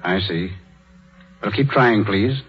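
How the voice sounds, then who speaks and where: A man's voice: lowish voice, slow pace. An actor playing a cautious, worried male hospital doctor in a 1930s radio drama. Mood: businesslike. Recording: muffled 1930s radio broadcast, music bed.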